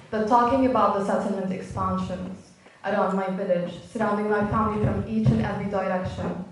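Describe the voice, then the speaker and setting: A young woman from the West Bank with a Palestinian Arabic accent, earnestly giving a prepared introduction at a university event. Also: medium pitch. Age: young adult. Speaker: student event host. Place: university lecture hall. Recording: echoey hall, distant mic, faint noise.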